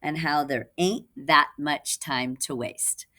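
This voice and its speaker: A woman's voice: low voice, fairly even intonation, bright, forceful tone, exaggerated voice. Woman playing a wry, folksy serving woman. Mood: pensive. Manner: folksy, unhurried, nonstandard grammar. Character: plainspoken young serving woman, wry and resolute.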